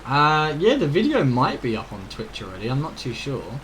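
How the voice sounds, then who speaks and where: A man's voice: mid-range voice, huge pitch swings, normal speed, a bit bright, a little hiss or hum, low-quality recording. A British man live-streaming a video game, chatting casually to viewers in an informal, rambling way with some mild swearing. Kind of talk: casual chat to viewers during gameplay. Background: faint game ambience.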